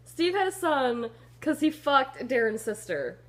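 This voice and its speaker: High-voiced woman chatting in an echoey room. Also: big pitch swings, measured pace, a crowd in the background. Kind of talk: casual amused side commentary.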